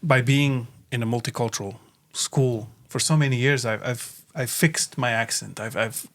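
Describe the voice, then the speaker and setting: A man from Portugal, a native Portuguese speaker, hosting a casual podcast chat in a reflective, halting way. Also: mid-range voice, steady tone. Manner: reflective, halting with frequent fillers. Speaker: podcast host.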